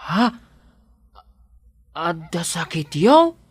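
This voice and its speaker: A woman's voice: lowish voice, big pitch swings, extremely slow, husky, no echo, full character voice. A Filipino woman playing a simple, devoted male household helper, hesitant and deferential, in an Ilocano audio drama. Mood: puzzled, polite.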